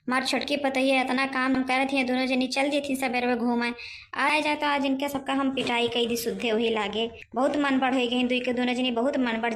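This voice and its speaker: A woman's voice: high-pitched, a bit bright. A woman voicing a bossy, grumbling head of a village household in a cartoon comedy, scolding in an Awadhi accent. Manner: scolding, complaining.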